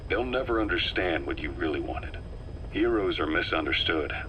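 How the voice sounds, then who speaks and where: A hardened mercenary officer in a video game, with a low, forceful voice. He briefs his commander in a deliberate, emphatic military manner, wry and intense, over faint background noise. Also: animated, poor audio. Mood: grave, pointed.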